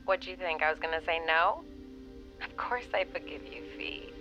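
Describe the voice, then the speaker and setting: A woman's voice: lowish voice, moderate pace, sharp tone, highs cut off, soft background music. An actress playing an affectionate, teasing young mother and close friend, through a telephone filter in an audio drama. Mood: forgiving.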